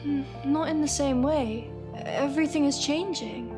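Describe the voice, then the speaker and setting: An actress playing a gentle, anxious young Victorian woman in an audio drama, timid, hesitant and earnest. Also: high voice, a little slow. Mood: overwhelmed, wistful. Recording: reverberant, music behind.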